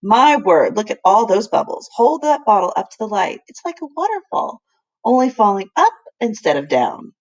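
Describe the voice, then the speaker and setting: A woman's voice: medium pitch, big pitch swings, moderate pace, lo-fi sound. A woman voicing an enthusiastic, kindly older male professor who explains and exclaims in old-fashioned phrases. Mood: delighted, explaining.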